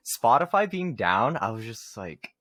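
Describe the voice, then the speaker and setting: A man's voice: mid-range voice, very animated, measured pace, a bit bright. A young man co-hosting a podcast, chatting casually about himself in slangy, self-mocking gamer talk. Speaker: podcast co-host.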